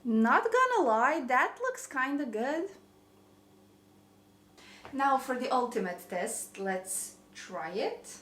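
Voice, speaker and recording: A woman's voice: high voice, very animated, slow pace, pauses often, a bit bright, slight background noise. Chatty Croatian woman in an echoey kitchen. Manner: chatty, rambling, self-deprecating. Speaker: vlogger. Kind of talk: casual kitchen chat. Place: home kitchen.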